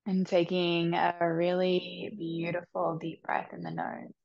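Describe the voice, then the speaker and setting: A woman leading a guided meditation with soft, soothing instructions. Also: lowish voice, monotone, creaky, no echo, lo-fi sound. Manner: soft, slow, soothing instructions with long pauses.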